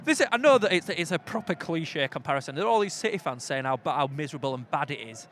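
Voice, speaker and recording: A man with a Yorkshire accent bantering through live football commentary in a colloquial, jokey, excitable way. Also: a little high, fast, a little hiss or hum. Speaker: football commentator and podcast host. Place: outdoors at a football ground.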